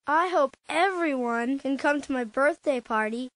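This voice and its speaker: Eager little schoolboy for a storybook game; very high, unhurried, childlike delivery. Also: female voice, a bit bright, dry recording.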